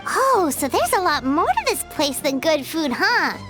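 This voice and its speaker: Tiny squeaky fairy sidekick, perky kiddie voice, super high and bouncy, anime game, music behind. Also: female voice, sing-song, a little slow, a bit bright, full character voice. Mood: curious, chatty. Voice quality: squeaky, childlike. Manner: exclamatory, refers to self in third person. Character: small floating fairy companion, childlike, perky food lover.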